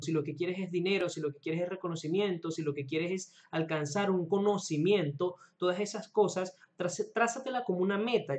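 A man's voice: high voice, a little mellow. A man in his twenties talking directly to camera as an online host, explaining self-improvement advice earnestly in a small room at home. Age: 20s.

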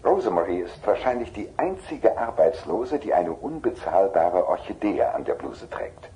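An actor playing a dry-witted, precise talking car computer in a 1980s radio play, speaking in an articulate deadpan. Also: male voice, low voice, normal speed, slight background noise, rough recording. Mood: dry, knowing.

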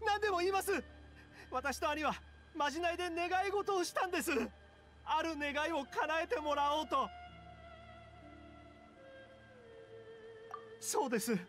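A man's voice: very high-pitched, lively intonation, normal speed, a bit bright. A man voicing a timid, desperate young man in an anime-style game, speaking politely and earnestly. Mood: panicked confession. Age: young adult. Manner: polite, earnest, anxious explaining.

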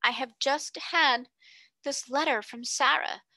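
A woman's voice: high voice, sing-song, a bit bright, no room sound, pitched above the performer's own voice, exaggerated voice, rough recording. Ermengarde, a woman doing a dazed young schoolgirl. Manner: slow, dazed, then stout and hurried. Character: stunned, excited young schoolgirl with a round face.

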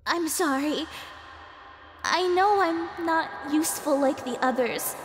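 A woman's voice: high-pitched, slow. A woman voicing a sweet, naive, self-doubting anime schoolgirl in a parody animation. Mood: sad. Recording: long reverb, music behind.

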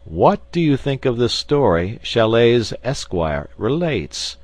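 A man's voice: mid-range voice, sing-song, takes it slowly, no room sound, voice pushed up. A man voicing an eager, gossiping musketeer in an audiobook, with an emphatic storytelling manner. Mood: eager, gossipy. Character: gossiping musketeer eagerly relating scandalous news. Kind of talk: audiobook character reading.

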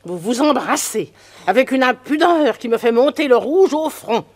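A woman's voice: expressive, normal speed. A woman playing a prim, nosy old spinster. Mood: scolding. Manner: shrill, prudish and scolding. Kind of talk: French radio drama.

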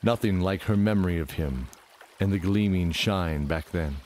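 Deep-voiced man narrating fantasy. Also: moderate pace, a little mellow, slight background noise. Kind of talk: dramatic fantasy story narration.

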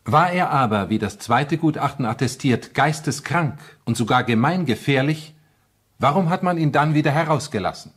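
A man's voice: medium pitch, expressive, normal speed, fairly bright tone, slight background noise, lightly acted. A man narrating a crime radio play as a matter-of-fact case reporter, formal and precise. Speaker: second narrator. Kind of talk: scripted documentary crime radio-drama narration.

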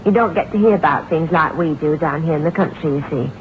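A woman's voice: sits low, fast, natural voice. Actress as village shopkeeper woman, nosy, folksy, morbid, vintage radio play, muffled narrow sound, hiss. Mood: morbid relish. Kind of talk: old-time radio acting.

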